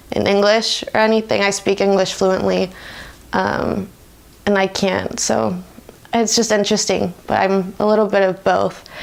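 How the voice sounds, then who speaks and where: A woman's voice: medium pitch, creaky. A woman in her twenties with an American accent, speaking informally and reflectively in a conversational interview. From United States. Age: 20s. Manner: informal, often laughs while talking.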